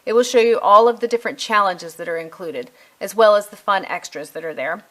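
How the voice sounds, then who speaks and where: A woman's voice: mid-range voice, fairly quick, fairly bright tone. A middle-aged woman giving a casual product walkthrough as a reviewer, conversational and thinking aloud as she goes. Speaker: homeschool curriculum reviewer.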